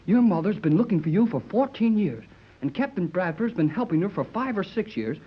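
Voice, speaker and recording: Eager American teenage boy, very lively intonation, slangy, old radio drama, muffled worn recording. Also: sits high, brisk, husky, exaggerated voice. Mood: earnest, urgent. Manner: slangy, earnest, excitable. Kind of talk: 1930s radio serial acting.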